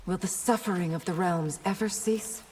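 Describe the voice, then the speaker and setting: A woman's voice: fairly low pitch, moderate pace, darkish tone, natural voice. Woman as proud princess fighter, formal, questioning, video game, reverberant hall, faint noise. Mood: challenging. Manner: formal, pointed, regal.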